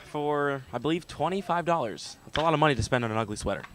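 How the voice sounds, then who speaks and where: A man's voice: on the high side, expressive, quick pace, fairly bright tone. A man working as a color analyst on a sports radio broadcast, commenting conversationally.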